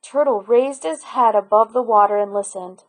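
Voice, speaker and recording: A woman narrating a children's picture book aloud in an expressive storyteller style. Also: fairly high pitch, moderate pace.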